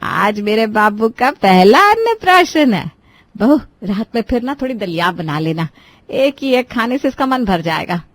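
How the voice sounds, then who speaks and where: A fond grandmother and mother-in-law in a cartoon tale, played by a woman. She speaks at a medium pitch, caring and in charge of the household, in a dry recording. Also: big pitch swings, measured pace, no echo. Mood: doting. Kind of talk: Hindi moral-story cartoon acting.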